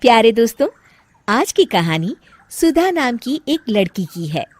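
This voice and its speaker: A woman narrator reads a scripted story. Her delivery is unhurried and expressive, with lively rises and falls. The recording is tight and dry. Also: fairly high pitch, dry recording.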